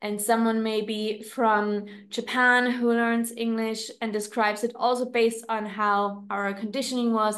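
A woman with a German accent, a non-native English speaker, co-hosting a podcast in a casual, reflective chat, often pausing and restarting as she searches for words. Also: fairly high pitch. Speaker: podcast co-host.

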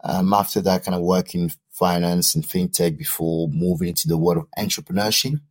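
A man's voice: deep voice, steady tone, a little fast. A man from Cameroon who is a podcast guest, giving long explanatory answers in an interview. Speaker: podcast guest, founder and CEO.

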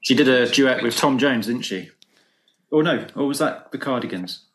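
A man from Britain chatting casually, reminiscing in a halting, rambling way. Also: mid-range voice, expressive, a bit bright. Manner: rambling, nostalgic, hesitant. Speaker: podcast guest. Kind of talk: casual chat.

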